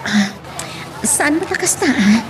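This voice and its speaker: A woman's voice: on the high side, big pitch swings, unhurried, recorded from a distance, crowd noise, background noise. A woman playing a hurt, protective single mother in an emotional Ilocano drama, with an Ilocano accent. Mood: guarded. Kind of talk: Ilocano radio-style love drama acting.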